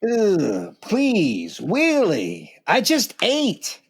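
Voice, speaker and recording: A man's voice: high voice, wildly swooping pitch, very slow, husky, exaggerated voice. A man playing a self-absorbed, trend-chasing music agent in a comedic radio play, breezy, smug and dismissive.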